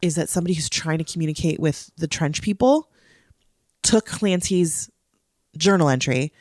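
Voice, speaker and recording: A woman's voice: sits low, moderate pace, darkish tone, no echo, clean studio sound. A woman co-hosting a casual podcast, congested from a cold and explaining things patiently with frequent laughs. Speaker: podcast co-host. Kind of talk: casual chat.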